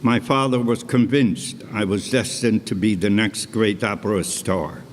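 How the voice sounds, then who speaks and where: An older man, his voice sitting fairly low, talks slowly and deliberately through his own life story. He drops chatty asides to a live audience. His words ring out with a long hall reverb and a faint hum behind them. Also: fairly even intonation. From Poland. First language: Polish. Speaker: stage storyteller and cantor. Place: concert hall stage.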